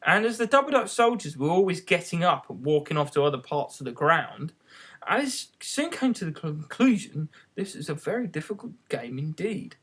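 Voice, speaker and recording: Expressive storybook reader, lively pitch. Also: normal speed, slightly rough. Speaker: audiobook reader.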